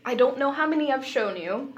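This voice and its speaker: A woman's voice: sits high, expressive, a little fast, muffled recording. A young woman in casual podcast chat, giggly and self-deprecating in the way she talks. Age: young adult. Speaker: podcast co-host.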